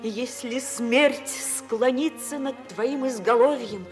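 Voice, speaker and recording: A woman's voice: fairly high pitch, takes it slowly, darkish tone. An actress playing a devoted, fervent young woman in incantatory 1950s radio theatre style. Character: devoted young woman pleading for her beloved. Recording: vintage radio recording under prominent music.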